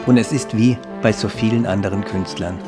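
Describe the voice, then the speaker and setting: A narrator reading a scripted voice-over for a feature in a calm, measured way. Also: medium pitch, music in the background.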